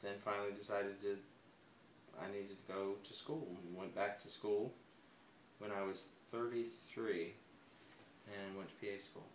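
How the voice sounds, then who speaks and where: A man in his forties being interviewed, talking in a hesitant, soft-spoken way with many fillers and restarts. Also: fairly low pitch, little pitch movement, a little slow, pauses often. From United States. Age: 40s. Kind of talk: personal interview. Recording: echoey room, faint ambient noise.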